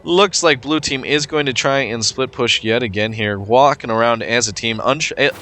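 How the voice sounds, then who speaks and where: A man with a cold, sounding stuffed up, giving relaxed play-by-play commentary over a video game match with frequent fillers. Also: medium pitch, moderate pace, slight background noise. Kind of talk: casual gameplay commentary.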